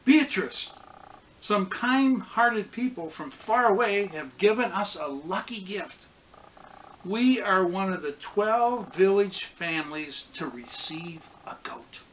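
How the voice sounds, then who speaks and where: A man voicing a hopeful, encouraging village mother in a children's storybook, in a measured way. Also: sits high, expressive, fairly bright tone, a little hiss or hum, full character voice, rough recording. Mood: excited.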